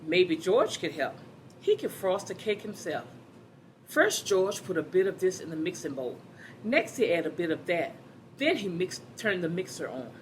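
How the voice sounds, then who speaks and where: A woman's voice: on the low side, big pitch swings, normal speed, slight background noise, rough recording. A woman reading a children's story aloud, expressively, addressing her young listeners directly.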